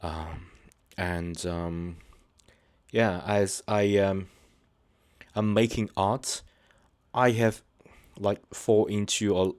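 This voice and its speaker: A man with a very deep voice speaks to his viewers without a script, stopping and restarting often and leaning on fillers. His delivery is earnest and sincere. The sound is dry and close, with no room around it. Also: fairly even intonation, darkish tone, clean tone, no room sound. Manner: halting, hesitant, many fillers and restarts. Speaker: channel host. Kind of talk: unscripted personal talk to viewers.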